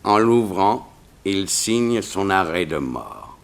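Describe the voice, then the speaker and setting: Deep-voiced, dignified diplomat. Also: male voice, very animated, gravelly, exaggerated voice. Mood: grave, formal. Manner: formal, measured, stately. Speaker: actor in a detective radio serial. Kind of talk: 1960s French radio drama acting.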